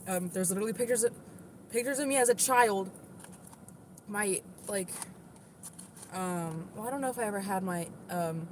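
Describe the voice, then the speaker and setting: A woman with a moderately deep voice, livestreaming and answering her viewers' comments in a chatty, defensive way, full of "like" and "literally". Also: slight background noise.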